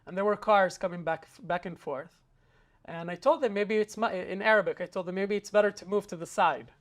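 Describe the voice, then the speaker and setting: A man's voice: sits high, fairly quick. A young man from Israel, an interview guest telling a personal story in an earnest way, with frequent hesitant fillers and restarts.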